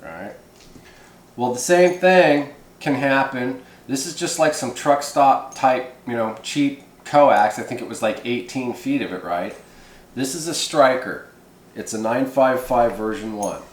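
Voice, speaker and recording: A man's voice: mid-range voice, unhurried. A man hosting a hands-on how-to video in casual, folksy, rambling talk. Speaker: host of a hobby how-to video. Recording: echoey room.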